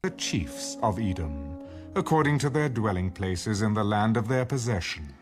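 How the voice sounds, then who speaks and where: A man reading scripture as a scripted dramatized narration, in a measured, solemn storytelling manner. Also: fairly low pitch, expressive. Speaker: audio Bible narrator. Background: music bed.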